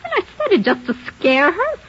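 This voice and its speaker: An actress playing a defiant, hot-tempered wife under suspicion in a 1940s radio drama, speaking in blunt, snappish slang. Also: female voice, very high-pitched, wildly swooping pitch, fairly quick, fairly bright tone, full character voice. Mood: insistent denial. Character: defiant, hot-tempered married woman, a murder suspect. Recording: dull lo-fi 1940s radio recording.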